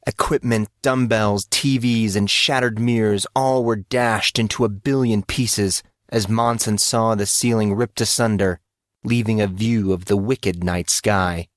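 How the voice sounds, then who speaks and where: Low-voiced man narrating dramatically, close and dry. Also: fairly even intonation, measured pace, no room sound. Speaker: audiobook narrator. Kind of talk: dramatic scripted fantasy narration.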